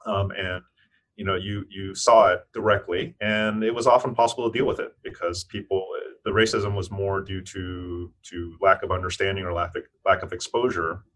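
A man's voice: deep voice, level tone, normal speed. A man in his fifties from Eastern Tennessee, a scientist guest on an interview, answering thoughtfully with frequent restarts. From United States (Eastern Tennessee). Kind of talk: interview conversation.

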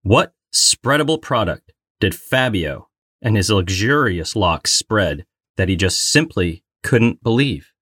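A man's voice: fairly low pitch, animated, slow, fairly bright tone, husky, no echo, clean studio sound. A man co-hosting a casual trivia game, talking in a playful, exclamatory way. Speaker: co-host of a trivia game podcast.

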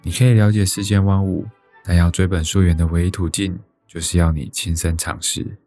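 A young Taiwanese man who dreams of a life abroad. His voice is very low, and he tells his story reflectively and wistfully in a radio drama, with music around him. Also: level tone, brisk, dark tone. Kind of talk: reflective first-person radio drama narration.

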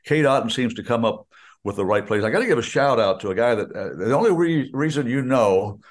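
A man's voice: mid-range voice, expressive, fairly quick. An older American man, a radio play-by-play announcer, chatting about football in a rambling way, full of restarts and asides. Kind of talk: casual sports radio chat.

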